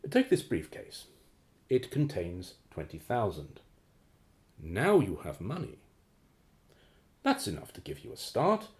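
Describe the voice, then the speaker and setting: A man playing a cool, calculating operative in a radio play, measured, formal and businesslike. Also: lowish voice, huge pitch swings, a little mellow, heavily acted. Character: cool, calculating male operative planning to retire.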